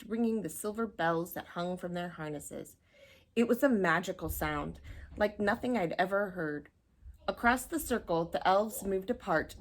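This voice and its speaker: A woman narrates a children's story from a book. Her voice sits at a middle pitch, and she reads aloud expressively, as for young listeners. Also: a little hiss or hum. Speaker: storybook reader.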